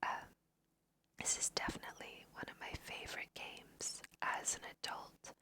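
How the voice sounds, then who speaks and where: Young female ASMR gamer, hushed crisp whisper, very slow delivery, gentle guiding commentary. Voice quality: soft breathy whisper. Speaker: host of an ASMR gaming video. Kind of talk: ASMR let's play commentary.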